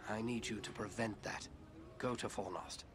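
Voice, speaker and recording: An actor playing a grave, watchful ranger chieftain in a fantasy game, speaking in a measured, earnest way. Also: male voice, on the low side, fairly even intonation, over music, natural voice. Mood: worried resolve.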